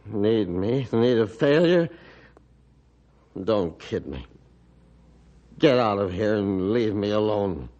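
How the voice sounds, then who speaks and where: A man acting a bitter, gruff old hermit doctor in an old-time radio drama, with halting rustic speech and a defensive, threatening manner. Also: on the low side, expressive, darkish tone. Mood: bitter, despairing. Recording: muffled, band-limited vintage radio recording.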